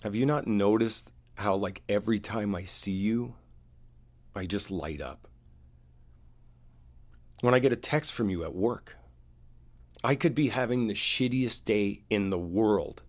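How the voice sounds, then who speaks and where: A man playing a wounded, devoted boyfriend in an intimate audio roleplay, speaking in a halting, confiding, earnest way. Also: lowish voice, close to an everyday voice. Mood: earnest reassurance. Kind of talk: boyfriend ASMR roleplay, intimate one-sided dialogue.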